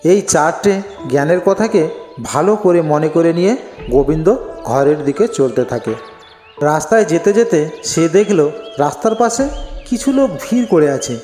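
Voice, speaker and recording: A man's voice: fairly high pitch, expressive, normal speed, warm tone. A man narrating a scripted cartoon folk story. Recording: echoey, long reverb. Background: faint music.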